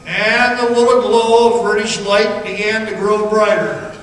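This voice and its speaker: A man playing a frightened miner recounting a haunting underground ordeal as a halting, suspenseful radio theatre monologue. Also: high-pitched, little pitch movement, fairly bright tone. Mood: fearful, tense. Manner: halting, suspenseful, dramatic storytelling. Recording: noisy, very echoey hall, distant mic.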